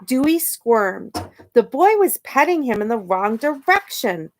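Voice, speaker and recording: A woman reading a children's picture book aloud expressively, pausing to ask her young listeners questions and point things out. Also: fairly high pitch, very animated, slight background noise, low-quality recording. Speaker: storytime reader and teacher.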